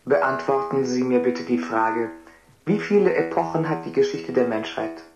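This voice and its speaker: A formal, impersonal computer examiner acted by a man in a radio drama. The delivery is measured and official. The sound is dull and band-limited, with loud guitar music. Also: mid-range voice, creaky, close to an everyday voice. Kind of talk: German radio drama acting.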